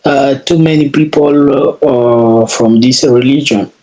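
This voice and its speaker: A man's voice: medium pitch, a little slow, warm tone, rough recording. A young man from Africa whose first language is Swahili, chatting hesitantly on a video call with many fillers and restarts. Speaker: geologist. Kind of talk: casual language-practice chat.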